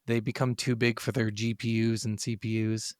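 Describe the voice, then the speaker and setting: A man co-hosting a podcast, chatting casually about video games in an animated, sweary, slangy way. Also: lowish voice, moderate pace, dry recording, studio quality. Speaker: podcast co-host.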